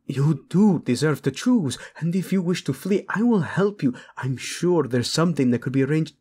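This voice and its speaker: A man doing a husky, brooding warlord prince. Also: on the high side, expressive, moderate pace. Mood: anguished. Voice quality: husky, soft-spoken, often drops to a whisper. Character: brooding young warlord prince, gentle with his bride. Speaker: audio roleplay voice actor. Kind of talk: audio roleplay acting.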